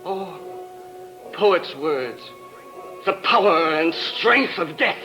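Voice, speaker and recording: High male voice, deranged bomber, dreamy halting delivery, fervent, old radio recording, music behind. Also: animated, pushed and bright, exaggerated voice. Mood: rapt, dreamy. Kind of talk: 1950s radio crime drama acting.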